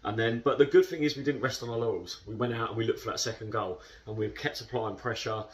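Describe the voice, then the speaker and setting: A man in his thirties, a football club captain, talking through a match in a post-match interview, chatty and measured with many fillers. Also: mid-range voice, distant mic. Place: indoor club room.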